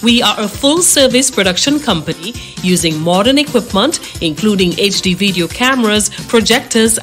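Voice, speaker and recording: Woman reading an ad script, medium pitch, music playing under her. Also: a bit bright. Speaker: advertisement announcer. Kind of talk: scripted commercial narration.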